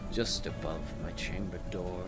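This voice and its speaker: A man giving a measured, theatrical reading of a Gothic poem, with long pauses, over music. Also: deep voice, steady tone. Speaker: poetry reader.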